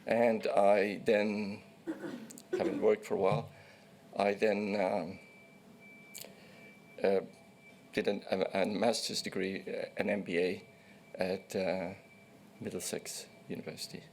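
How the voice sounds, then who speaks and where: A man in his sixties, originally from Egypt, giving evidence as a witness at a formal hearing and recounting his career in a measured way, with small restarts. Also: fairly low pitch, flat delivery, a little mellow, a little hiss or hum. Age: 60s. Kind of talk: formal testimony.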